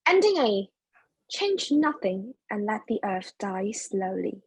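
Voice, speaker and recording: A woman's voice: medium pitch, very animated, slow, breathy edge, no echo, rough recording. A woman narrating scripted stage directions as the narrator of a play reading.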